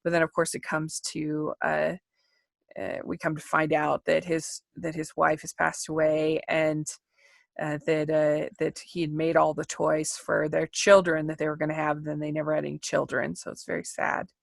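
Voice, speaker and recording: A woman co-hosting a casual film discussion podcast, talking in a chatty, rambling way with halting delivery. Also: deep voice, completely flat delivery, gravelly, no echo. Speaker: podcast co-host reviewing animated films.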